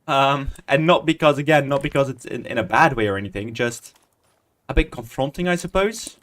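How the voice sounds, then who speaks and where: A man's voice: medium pitch, a little fast, fairly bright tone. A man, chatting casually and rambling while he plays a building game on stream, muttering under his breath as he types commands. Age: 20s. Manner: rambling, chatty, self-deprecating. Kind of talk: casual Minecraft stream commentary.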